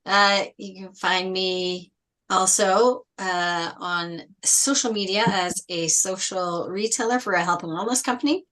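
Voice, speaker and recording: A woman's voice: medium pitch, fairly even intonation, unhurried, fairly bright tone. A woman from Canada, an actor, chatting and introducing herself in a casual way. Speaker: actor at an online table read. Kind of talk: casual self-introduction and chat.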